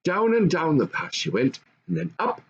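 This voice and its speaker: An older man reads a classic fairy tale aloud in an expressive, measured, grandfatherly storytelling style. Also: a little high, vocal fry, muffled recording, slight background noise. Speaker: storybook reader.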